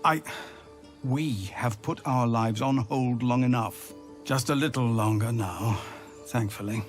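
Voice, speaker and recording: An actor playing a weary, dignified refugee leader in a video game, earnest and measured. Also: male voice, mid-range voice, faint music behind, natural voice. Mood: grateful, earnest.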